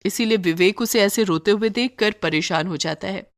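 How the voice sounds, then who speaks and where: A woman's voice: medium pitch, normal speed, no room sound, clean studio sound. A woman storyteller reading scripted narration for a moral story.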